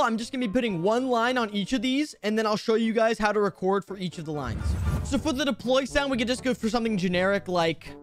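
A young adult man, an upbeat, chatty YouTuber presenting a casual tutorial into a close desk microphone. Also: high-pitched. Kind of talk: scripted-casual tutorial vlog. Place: bedroom. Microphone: close boom-arm desk microphone. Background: background music.

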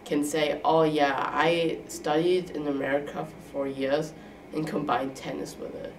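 A woman's voice: deep voice, flat delivery, a little slow, darkish tone, far from the mic, slight background noise, poor audio. A young woman, a college tennis player chatting casually in an interview, full of fillers. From Germany. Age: young adult.

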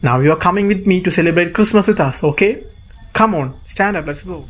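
Guy as fatherly ironmaster in a radio play, coaxing, highish voice, echoey room. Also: fairly quick, lightly acted. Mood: urging, concerned. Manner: earnest, coaxing. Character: hearty, insistent ironmaster, a fatherly middle-aged man. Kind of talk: student radio drama acting.

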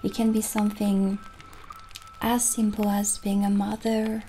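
A young woman playing a gentle, kindly witch shopkeeper in an ASMR roleplay, soft-spoken and soothing, slipping toward breathy near-whispers. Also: medium pitch, completely flat delivery, a little slow, soft background music, natural voice, low-quality recording. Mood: calm, helpful. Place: small indoor candlelit set.

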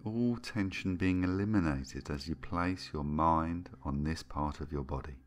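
Very deep-voiced man guiding a meditation. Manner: soothing, gentle, unhurried with long pauses. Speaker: hypnotherapist, meditation guide. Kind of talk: guided meditation narration. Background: background music.

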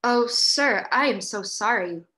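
Woman as a polite young woman, gentle and gushing, own voice. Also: a little high, animated, measured pace. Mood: sweetly polite.

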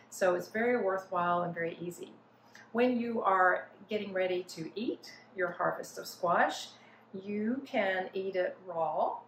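Older lady teaching cooking in her kitchen, mid pitch, echoey room, camera a few feet off. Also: slight background noise. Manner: friendly explanatory teaching, gestures while talking. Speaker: cooking instructor. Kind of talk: cooking demonstration. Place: home kitchen indoors. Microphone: camera at mid distance. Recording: roomy kitchen echo.

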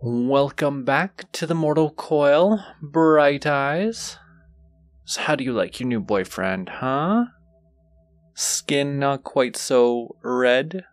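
A man's voice: medium pitch, animated, slow pace, no room sound. A man playing a teasing, flirtatious, gleefully wicked demon suitor in an intimate audio roleplay, drawling seductively to the listener. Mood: pleased, seductive. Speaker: voice actor.

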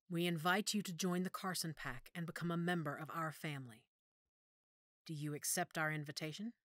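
A woman playing a motherly, firm female werewolf pack leader in an audio drama, measured and authoritative. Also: low-pitched, fairly bright tone, no room sound, studio quality. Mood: warm, resolved.

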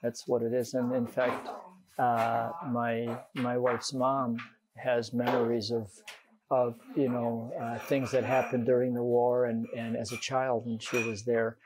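An older man in an interview, speaking haltingly and heartfelt, on a lapel mic in a shop. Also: mid-range voice, completely flat delivery, background noise. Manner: hesitant, heartfelt, with fillers. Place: candle shop, indoors.

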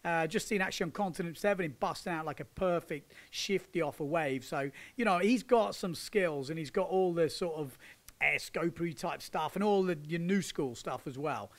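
A man's voice: a little high, normal speed. A man, chatting casually as a windsurfing vlog host, laughing and using slang. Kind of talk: casual chat with commentary over surf footage. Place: indoors, sitting on a sofa. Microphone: handheld microphone.

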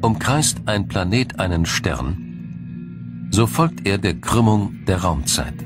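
A man reading scripted documentary narration in a measured, explanatory way. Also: low-pitched, expressive. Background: music.

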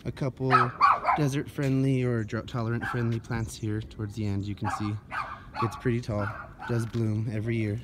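A man's voice: medium pitch, flat delivery, moderate pace. A man giving a casual, unscripted garden-tour narration as he walks outdoors, recorded on a handheld phone. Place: outdoors in a residential front yard, walking. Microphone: handheld phone held by the speaker. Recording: noisy outdoor phone recording, dogs around.

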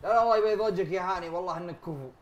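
A man with a Saudi accent in a comedy sketch, playing a pleading, flattering patient in a living room. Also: high voice, racing, muffled recording. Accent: Saudi Arabic. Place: living room with sofas, indoors.

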